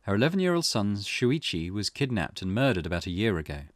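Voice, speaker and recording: A man's voice: lowish voice, very animated, fast, no room sound, clean studio sound. A man reading a visual novel aloud for a gaming video, with casual commentary of his own.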